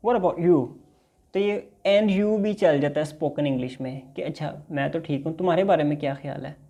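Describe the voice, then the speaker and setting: A man's voice: on the high side, moderate pace, dark tone. A man teaching basic English in a recorded lecture, patiently explaining and reading example dialogues aloud.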